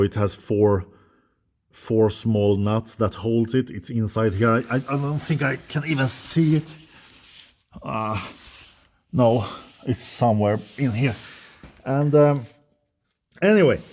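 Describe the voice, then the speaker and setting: Swedish DIY mechanic, unhurried and halting, mid-pitched man. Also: darkish tone, a little hiss or hum. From Sweden. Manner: slow, halting, thinking aloud while working. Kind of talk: hands-on repair tutorial.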